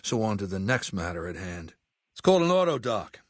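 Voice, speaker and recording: An older actor playing a cold, commanding warlord in a video game, blunt and deliberate with a sardonic edge. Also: male voice, fairly low pitch, very animated, no room sound, clean studio sound. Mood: measured, businesslike. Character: cold, menacing middle-aged male warlord ruling a slaver legion. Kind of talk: video game character dialogue.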